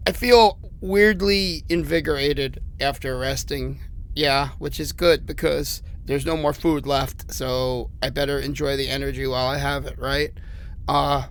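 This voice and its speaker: A man playing a battered, sardonic man trapped in a haunted house, rambling haltingly as if leaving recorded messages in a horror audio drama. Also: a little high, pushed and bright. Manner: halting, rambling, self-deprecating.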